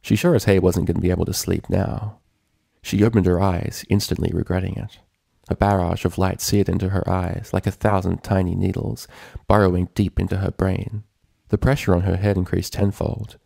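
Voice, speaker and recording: A man's voice: deep voice, level tone, moderate pace, creaky, dark tone, breathy edge, dry recording, studio quality. A man reading a story as scripted narration, in the manner of an audiobook reader. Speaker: fan fiction reader.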